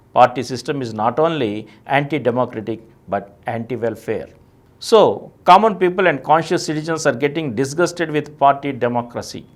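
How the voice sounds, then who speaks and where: A man with an Indian accent reading a scripted political essay as narrator, formal and emphatic at the starts of sentences. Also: medium pitch. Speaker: reader, narrator.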